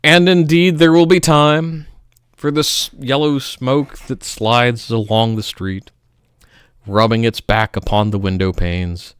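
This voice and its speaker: A man reads poetry in a slow, melodramatic style. His clean, resonant voice swells on key phrases and drops to hushed endings, with dramatic pauses between them. The sound is close and dry. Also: medium pitch, steady tone, smooth, no room sound.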